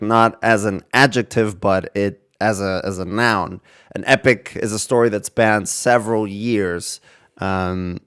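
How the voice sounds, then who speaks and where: A man with a low, smooth and clear voice gives casual reaction commentary for a gaming channel. He rambles along, thinking aloud as he goes. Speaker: gaming video creator reacting to a trailer.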